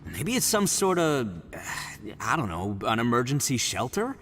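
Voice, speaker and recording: A man's voice: medium pitch, very animated, normal speed. A man playing a boisterous, boastful young oni gang boss in a video game, brash, theatrical and easily rattled. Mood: cocky.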